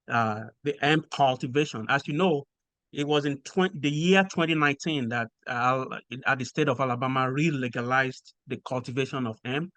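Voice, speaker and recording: Man, Nigerian accent, measured explainer, mid-pitched, dry close mic. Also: fairly bright tone, smooth, no room sound. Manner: measured and explanatory, frequent "uh" pauses. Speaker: guest researcher. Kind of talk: podcast interview.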